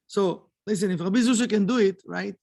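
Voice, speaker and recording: A man's voice: sits high, brisk, creaky, warm tone. A man teaching a kabbalah study lesson, earnest and repeating phrases for emphasis. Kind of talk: early morning study lesson.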